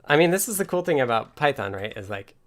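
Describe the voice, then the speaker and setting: A man casually talking through live coding and electronics as a stream host, halting as he thinks aloud. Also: medium pitch, very animated, slight background noise. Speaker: livestream host and software developer.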